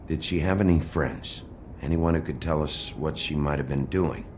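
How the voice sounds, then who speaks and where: An actor playing a gruff, terse male investigator in a video game drama, gravelly and low-key as he questions. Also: normal speed, a little mellow, a little hiss or hum. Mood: probing.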